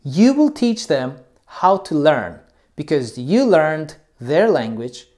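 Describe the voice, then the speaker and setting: Guy teaching english, not his first language, warm mellow voice, lots of ums and little laughs. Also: fairly high pitch, very animated. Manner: loose and chatty, many ums and uhs, quick laughs. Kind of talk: casual talk to camera about teaching.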